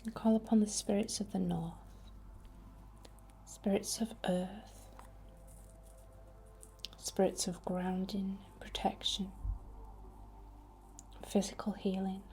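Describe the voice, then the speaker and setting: A woman whispering a ritual ASMR incantation in a breathy, deliberate way. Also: medium pitch, level tone, dark tone, slight background noise. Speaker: ASMR witch and Reiki healer.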